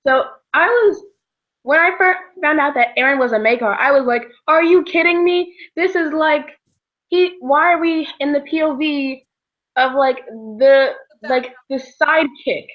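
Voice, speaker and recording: A woman's voice: high-pitched, a little slow, muffled recording. A young woman co-hosting a casual book chat, telling her reactions informally as little quotes. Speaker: book discussion co-host.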